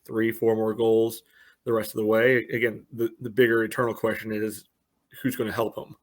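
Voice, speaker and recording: A man's voice: fairly low pitch, level tone, moderate pace. Man giving casual sports podcast analysis. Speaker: podcast co-host.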